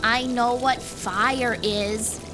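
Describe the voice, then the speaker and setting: Actress as inquisitive fantasy kid, female, highish voice, very unhurried, blunt and stubborn, music behind. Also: fairly even intonation. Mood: huffy. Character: curious, blunt, stubborn young web-fingered girl. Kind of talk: dramatised fantasy audiobook acting.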